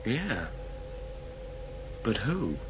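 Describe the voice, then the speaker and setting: An actor playing an irritable, sardonic starship crew member in a radio drama. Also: male voice, medium pitch, sing-song, very slow, dull audio, noisy background. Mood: disdainful. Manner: sardonic, impatient.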